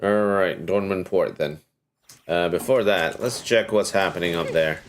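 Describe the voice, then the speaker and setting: A man's voice: sits low, measured pace, a little hiss or hum. A man giving casual livestream commentary on a game, hesitant and musing aloud.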